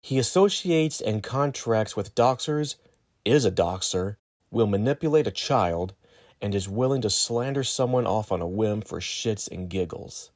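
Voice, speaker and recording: Low synthetic male narrator voice, dry. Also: animated, measured pace, darkish tone, dry recording. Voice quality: synthetic robot voice. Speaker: video narrator. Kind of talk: scripted narration, accusatory exposé.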